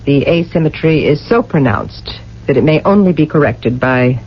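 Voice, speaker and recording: A coolly authoritative official in an old radio play. The delivery is formal, measured and impassive. The sound is dull, with faint noise behind it. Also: female voice, sits low, expressive. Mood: cool, stern. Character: coolly authoritative tribunal official in future society. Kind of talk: 1980 radio drama acting.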